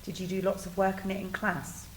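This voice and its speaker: A woman speaking as a teacher, gently prompting and praising a young child in an interview. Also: mid-range voice, little pitch movement, fairly quick, slight background noise.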